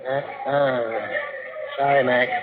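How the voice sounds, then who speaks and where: An actor playing a brisk, matter-of-fact examining doctor in a vintage radio drama, clipped and clinical. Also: male voice, medium pitch, steady tone, phone-quality sound, lightly acted. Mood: clinical, regretful. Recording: very noisy vintage radio recording.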